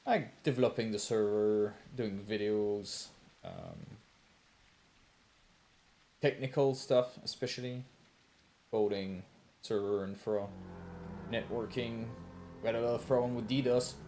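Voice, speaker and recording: A man with a Quebec French accent, a native French speaker, chatting casually on a livestream in a halting way as he searches for words. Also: lowish voice, animated, dark tone. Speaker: game server developer and livestreamer. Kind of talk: casual livestream chat while gaming.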